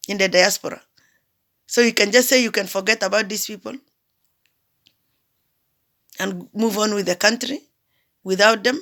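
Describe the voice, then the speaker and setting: An impassioned woman from South Sudan speaks out in an emphatic political address. Her voice has a gravelly, fry-tinged edge at a middling pitch. She drives her points home with repeated phrases and pointed rhetorical questions. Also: a bit bright. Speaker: diaspora commentator.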